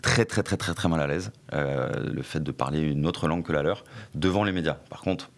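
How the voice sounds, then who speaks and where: A man's voice: very deep voice, fairly quick. A middle-aged man, a former club press officer, answering questions on a radio talk show with many fillers and restarts.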